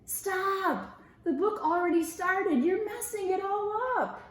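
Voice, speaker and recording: A woman's voice: very high voice, slow, pitched above the performer's own voice. A woman voicing a bossy, exasperated, self-important male mouse from a picture book, emphatic and scolding. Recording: roomy echo.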